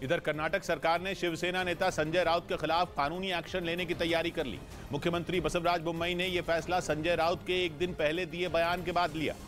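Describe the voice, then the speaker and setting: A man reading a scripted news bulletin in a steady, formal newsreader delivery. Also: fairly high pitch. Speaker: news reader.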